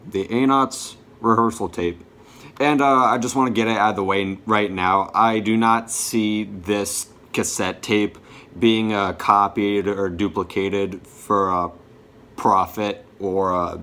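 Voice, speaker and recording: A young man giving a casual show-and-tell to camera, halting and often correcting himself. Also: on the low side, steady tone, pushed and bright. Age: young adult. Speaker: vlogger showing a music collection. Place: small bedroom, indoors.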